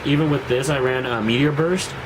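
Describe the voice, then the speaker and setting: A man's voice: medium pitch, a little fast, noisy background. A young man with a cold and a nasal voice, rambling casually through a card deck review with lots of fillers and restarts. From Seattle area. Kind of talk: casual deck profile vlog.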